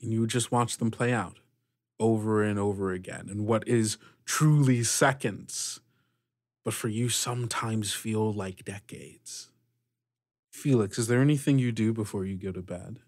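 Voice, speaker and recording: A man narrating a tabletop roleplaying game as game master, improvising in short, halting phrases. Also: lowish voice, a little mellow, no room sound, studio quality.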